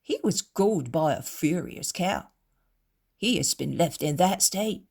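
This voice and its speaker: A woman's voice: lowish voice, lively intonation, takes it slowly, creaky, darkish tone, heavily acted. A woman reading a story aloud, voicing an anxious father who has brought his crippled son.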